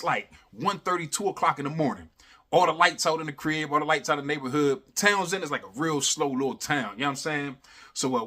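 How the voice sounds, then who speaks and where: A man casually telling a funny personal story in animated, slangy talk, repeating phrases for emphasis and constantly checking in with the listener. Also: on the high side. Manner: animated, slangy, repeats phrases for emphasis, often adds "you know what I'm saying". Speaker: storyteller, host of a story series.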